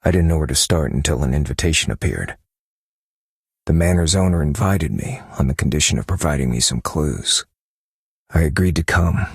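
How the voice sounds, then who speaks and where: A man playing a brooding, haunted, distrustful novelist in a video game, with a gravelly, husky voice, delivering a dramatic monologue. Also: extremely low pitch, normal speed, no echo, clean studio sound, full character voice. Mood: somber, reflective. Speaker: game character voice actor.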